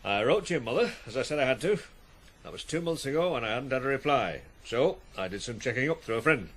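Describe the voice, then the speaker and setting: Man playing stern farm boss with lively intonation. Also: mid-range voice, natural voice. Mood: stern, probing. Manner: measured, questioning, matter-of-fact. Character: stern but fair farm boss, plain-spoken older authority. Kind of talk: 1980s radio drama acting.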